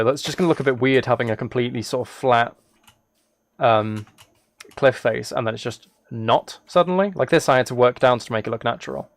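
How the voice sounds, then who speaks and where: A man talking casually and softly as a live streamer, thinking aloud in a rambling, relaxed way while he plays a game. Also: medium pitch, vocal fry, dry recording. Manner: soft-spoken, relaxed. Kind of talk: casual livestream chat while gaming.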